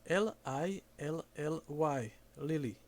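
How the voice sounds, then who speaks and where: A man on a prank phone call, speaking slowly and deliberately, overly polite, acting confused. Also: fairly high pitch. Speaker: scambaiter posing as a caller.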